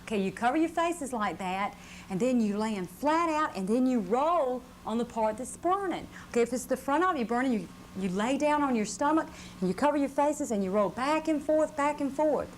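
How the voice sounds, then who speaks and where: A woman's voice: sits high, lively intonation, moderate pace. A woman presenting a fire safety lesson to children, patiently repeating points and checking with questions. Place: live puppet show before children. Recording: noisy live room on VHS tape.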